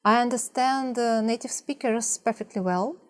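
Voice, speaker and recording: Soft-spoken Russian-accented woman vlogger. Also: a little high, moderate pace. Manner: soft-spoken, hesitant, many fillers. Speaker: language-learning vlogger. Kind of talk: partly scripted talk to camera.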